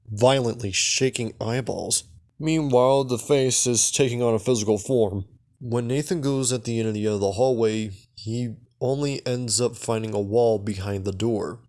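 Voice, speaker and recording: A man's voice: medium pitch, darkish tone. Guy doing a laid-back recap narration for a video, regular mid-range voice. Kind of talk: casual scripted recap commentary.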